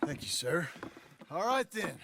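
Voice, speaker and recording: An actor playing a wary, terse rancher man in a video game cutscene, speaking in a slow, laconic way. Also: a little high, sing-song, creaky, heavily acted. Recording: game audio under loud ambient noise.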